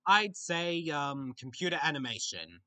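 Bright, animated young Australian man. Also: fairly high pitch. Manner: halting, with frequent restarts and pauses to think. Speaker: vlogger answering viewer questions. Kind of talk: casual Q&A chat. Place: small bedroom, indoors.

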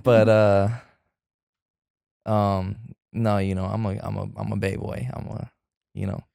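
A man in his thirties from the San Francisco Bay Area, chatting as a guest actor in a relaxed interview, full of fillers and restarts. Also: on the low side, unhurried, gravelly, no room sound, studio quality. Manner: hesitant, many fillers and restarts. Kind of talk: casual interview chat. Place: living room with sofa. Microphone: studio mic on arm.

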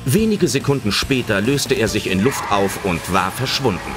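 A man's voice: fairly low pitch, lively intonation, normal speed, natural voice. A man as the dramatic storyteller of a German children's adventure audio drama, reading scripted narration. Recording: loud music under the narration.

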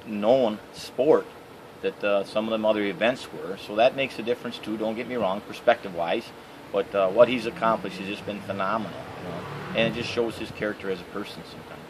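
A middle-aged man with a Michigan accent, answering interview questions outdoors in a halting way with many fillers. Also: lowish voice, steady tone, noisy background. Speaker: interviewee, canoe racer. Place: outdoors by trees and a house.

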